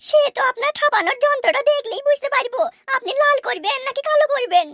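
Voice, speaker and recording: A woman's voice: very high-pitched, expressive, quick pace, a bit bright, dry recording, clean studio sound. A woman voicing a flirtatious, coy village housewife in a comic cartoon.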